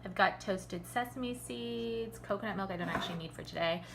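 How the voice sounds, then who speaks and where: A woman's voice: medium pitch, moderate pace, vocal fry, reverberant. A woman talking casually as an amateur vlogger, walking viewers through a home cooking demonstration. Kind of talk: casual chatty vlog narration and cooking demonstration. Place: on location at shops and a home kitchen. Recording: noisy amateur recording with kitchen clatter.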